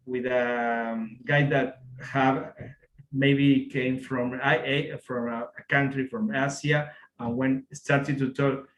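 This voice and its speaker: A man with an Argentine Spanish accent speaking English as a second language, chatting casually, often pausing and restarting to find his words. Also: mid-range voice, little pitch movement, a bit bright, roomy sound, slight background noise, rough recording. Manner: halting, searching for words, many fillers and restarts. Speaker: telescope equipment seller. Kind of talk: casual chat on a video call.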